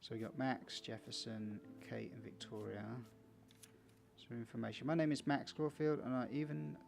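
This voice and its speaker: A man's voice: medium pitch, fairly even intonation, normal speed, gravelly, darkish tone, soft background music. A man playing a video game for viewers, casually reading its text aloud with small stumbles and chatty asides. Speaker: video game let's player.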